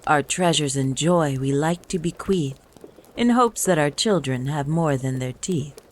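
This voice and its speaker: A woman storyteller reading a rhyming Christmas poem in a measured, lilting delivery. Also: deep voice. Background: music.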